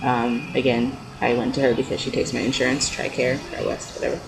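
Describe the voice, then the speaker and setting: A man's voice: little pitch movement, brisk, gravelly. A young man chats casually and unscripted in a rambling, hesitant way, like a personal video blog. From California, United States. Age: young adult. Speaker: video blogger. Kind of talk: casual unscripted chat to camera. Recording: noisy low-quality amateur webcam-style audio.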